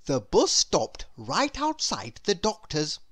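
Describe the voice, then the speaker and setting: A woman reading a children's story aloud in an expressive, deliberate way. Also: low voice, husky. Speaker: storybook reader. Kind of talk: scripted children's story narration.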